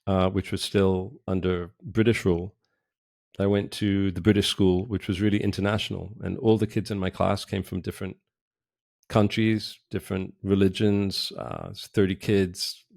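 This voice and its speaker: A middle-aged man from Israel, a podcast guest, talking casually in a direct, reflective way with pauses and restarts. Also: sits low, fairly even intonation, vocal fry, a little mellow, no room sound, clean studio sound. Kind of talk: casual interview talk. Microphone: remote call.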